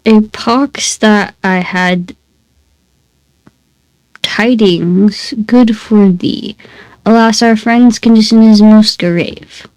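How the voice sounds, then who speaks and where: A solemn game character speaks in stately, old-fashioned phrases, read aloud by a woman with a stuffy voice. The delivery is slow and mellow, with each line drawn out. The sound is close and dry. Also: mid-range voice, dry recording, poor audio. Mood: grave. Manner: archaic, formal phrasing read out slowly.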